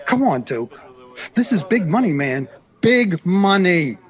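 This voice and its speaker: A man's voice: high-pitched, very animated, slow pace, full character voice. A man playing a brash, dismissive, money-minded businessman in a 1960s audio drama, pushy and sneering.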